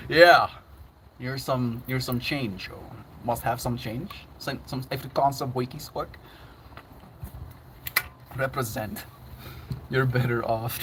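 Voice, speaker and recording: A man's voice: medium pitch, a bit bright, a little hiss or hum. A man chatting casually on his livestream, laughing often and reading out chat messages.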